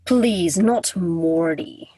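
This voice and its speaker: Casting: solemn Grim Reaper played by a woman, lowish and very husky, stiff formal diction, literal and reserved, for radio drama. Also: extremely slow, warm tone, heavily acted. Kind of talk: radio play acting.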